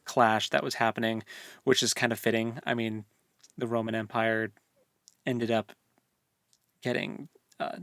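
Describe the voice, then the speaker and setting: A man's voice: on the low side, flat delivery, unhurried, vocal fry, pauses often, a bit bright, no echo, clean studio sound. A man co-hosting a podcast, talking through his views in a casual, meandering book discussion. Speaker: co-host of a book read-along podcast.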